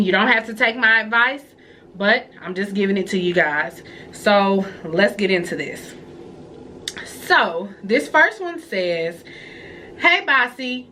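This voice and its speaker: A woman's voice: mid-range voice, pushed and bright, a little hiss or hum. A young woman vlogger with a nasal, congested voice from a cold, chatting casually and reading viewer letters aloud with her own reactions. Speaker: vlogger giving advice. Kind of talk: casual advice vlog.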